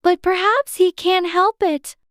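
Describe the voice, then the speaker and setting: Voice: very high voice, steady tone, measured pace, a bit bright, dry recording, studio quality, voice pushed up. A narrator voicing a curious, prim and polite little girl in a storybook, earnest and insistent. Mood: puzzled, insistent.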